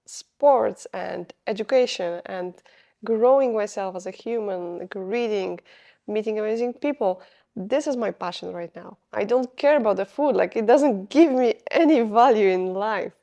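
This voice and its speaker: A woman's voice: medium pitch, moderate pace, gravelly, warm tone. A young woman with a Polish accent, talking unscripted to camera in a rambling way, stopping often to search for words. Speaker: vlogger. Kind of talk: unscripted casual vlog talk.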